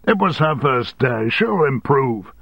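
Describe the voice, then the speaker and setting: A man playing a blustering, domineering, short-tempered male boss who shouts threats, on a dry, slightly dull recording. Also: medium pitch, big pitch swings, measured pace, gravelly, husky, dry recording, voice pushed up, full character voice. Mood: angry.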